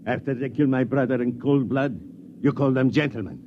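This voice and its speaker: A man's voice: mid-range voice, normal speed, lightly acted. An actor playing a bitter, resentful man in a 1950s radio drama, with a challenging, incredulous manner. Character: bitter, grieving man resentful of alien enemy. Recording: muffled, noisy 1950s radio recording.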